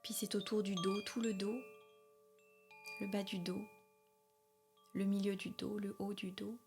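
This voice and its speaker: A woman guiding a yoga nidra meditation in a soft, breathy, calm and soothing voice, with long pauses and repeated phrasing. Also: mid-range voice, faint music behind. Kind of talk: guided meditation, spoken slowly.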